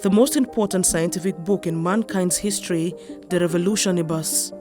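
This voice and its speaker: A woman's voice: fairly low pitch, steady tone, a little fast. A woman reading a scripted news report. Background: music.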